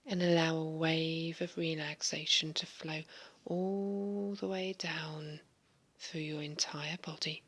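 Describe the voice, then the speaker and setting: A woman guiding a hypnosis session in a slow, soothing, largely whispered voice, giving relaxation suggestions. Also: low voice, fairly even intonation, fairly bright tone. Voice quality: breathy. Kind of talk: guided hypnosis recording.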